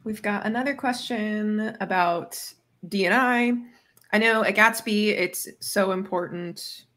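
A woman's voice: mid-range voice, measured pace, fairly bright tone. Casual woman hosting a livestream. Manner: informal, says "like" often. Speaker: host. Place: indoor room, video call. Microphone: video call.

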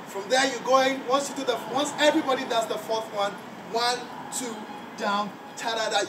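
A male dance instructor counting beats aloud and explaining steps, chanting along with the music. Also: high-pitched. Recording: roomy, far from the mic, loud background noise.

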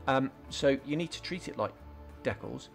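A man's voice: mid-range voice, expressive, normal speed, gravelly, faint music behind. A man hosting a scale modelling tutorial, talking casually through each step with frequent ums and restarts. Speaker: scale modelling channel host. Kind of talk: relaxed hobby tutorial voice-over.

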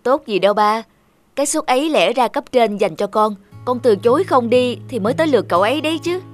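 Woman doing self assured young office son in a cartoon, highish voice, music behind. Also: lively intonation, fast talker, lightly acted. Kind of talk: cartoon drama dialogue. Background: background music.

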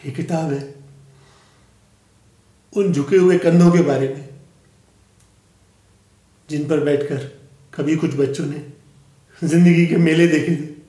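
A man performing a dramatic monologue as an aggrieved, dignified aging father giving a formal speech, solemn and earnest with long pauses. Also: a little high, fairly even intonation, warm tone. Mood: solemn, reflective. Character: aggrieved, dignified aging father, a humble author addressing an audience. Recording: echoey room.

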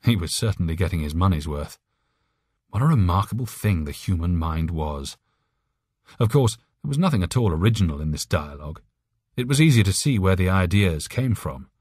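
A man's voice: deep voice, darkish tone, slightly rough, no room sound, clean studio sound. A man reading scripted story narration as an audiobook narrator.

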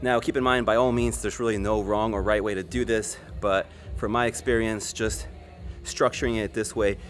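A man's voice: on the low side, moderate pace. Laid-back man explaining gym tips. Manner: relaxed, explanatory, addresses viewers directly. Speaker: fitness YouTuber. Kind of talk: casual how-to vlog. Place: large indoor gym. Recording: music bed under voice. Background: background music.

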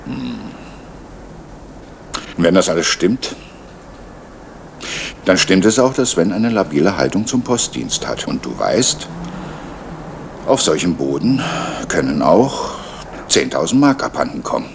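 An actor playing a calm, authoritative male police captain in a radio crime drama. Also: deep voice, a little slow, creaky, pauses often, a little hiss or hum, exaggerated voice.

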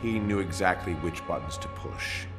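An actor playing a stern, commanding armored genius in a video game cutscene, speaking tersely. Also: male voice, low-pitched, normal speed, natural voice. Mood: grave. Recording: loud music underneath.

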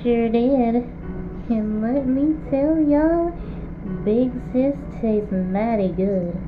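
A woman's voice: a little high, expressive, drawn-out words, narrow, tinny audio, over music. A woman voicing a young pony filly, folksy and cheerfully sinister, for a fan horror audio drama. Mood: gleefully sinister.

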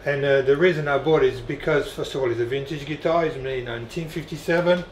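A middle-aged man from France, a guitar collector, telling animated stories with frequent laughter in a dim indoor room. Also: mid-range voice, quick pace, a little hiss or hum. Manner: animated anecdotes, laughing often. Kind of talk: storytelling interview. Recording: echoey room.

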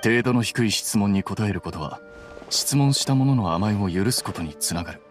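A man playing a cool, aloof young scholar-official in an anime game, speaking in a measured, condescending deadpan. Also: on the low side, natural voice. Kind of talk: Japanese anime game voice acting. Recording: music behind the voice.

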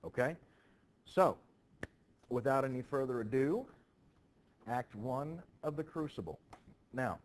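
A man teaching students about a play in an informal, animated storytelling manner. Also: mid-range voice. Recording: clearly noisy background.

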